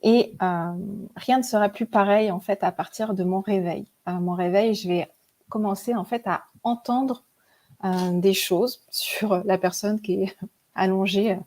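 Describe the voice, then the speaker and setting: A woman's voice: mid-range voice, fairly even intonation, a little slow, warm tone. A woman in her forties, a guest telling her story in a chatty, hesitant way with many fillers and frequent laughs. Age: 40s. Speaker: guest, spiritual author and teacher. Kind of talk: casual interview storytelling.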